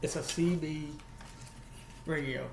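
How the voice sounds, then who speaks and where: A man's voice: fairly high pitch, fairly even intonation, slow pace, gravelly. A man with a Southern US accent casually showing and explaining an item as a video host, in a folksy, repetitive way. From United States, Alabama. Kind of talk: casual vlog product show-and-tell. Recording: echoey room with faint background noise.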